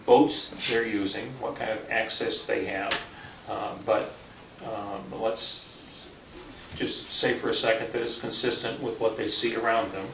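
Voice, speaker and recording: A man speaking as a town official in a public meeting, in a halting, deliberate but firm manner. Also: on the low side. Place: indoor public meeting room. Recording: distant mic, echoey meeting room, clear background noise.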